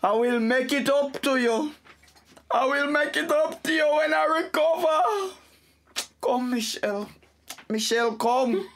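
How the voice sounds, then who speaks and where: A man's voice: very high-pitched, a little slow, a bit bright, a little hiss or hum, full character voice. A man playing a wounded, protective Jamaican husband and father in a radio family drama, theatrical and plaintive. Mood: suffering, pleading.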